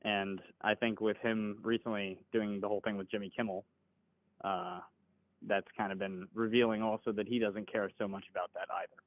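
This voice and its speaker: A man in his twenties calling into a livestream, talking in a measured, hedging, polite way. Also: lowish voice, level tone, no echo. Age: 20s. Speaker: caller. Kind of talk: casual political debate. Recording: tinny phone-like call audio, dry.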